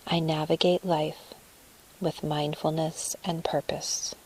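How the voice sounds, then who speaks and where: A woman leading a guided meditation in a soft, breathy, soothing voice, phrasing deliberately with pauses. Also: low voice, level tone, slight background noise, rough recording.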